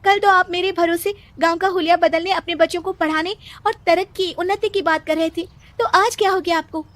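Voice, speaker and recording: A woman's voice: very high-pitched, fairly even intonation, a little fast, fairly bright tone, dry recording. A woman voicing an earnest, hopeful young village girl student in a cartoon storybook.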